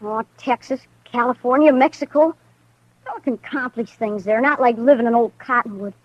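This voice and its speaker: A woman's voice: fairly high pitch, moderate pace, lightly acted. A woman acting an eager, cocky runaway boy with a rural American accent in an old radio western, folksy and boastful. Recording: dull muffled vintage radio recording.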